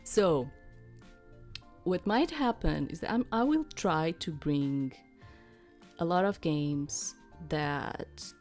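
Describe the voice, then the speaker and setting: Middle-aged female gaming host, low-pitched voice, unhurried rambling chat with hesitant asides, faint music underneath. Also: expressive, a little mellow. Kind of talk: casual rambling chat to viewers.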